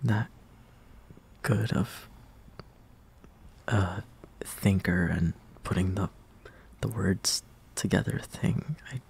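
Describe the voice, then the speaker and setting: A young man performing an intimate roleplay monologue as a lovestruck, insecure friend, in a hesitant, breathy half-whisper that trails off. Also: low voice, takes it slowly, long pauses, darkish tone. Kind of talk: soft intimate roleplay monologue, partly improvised.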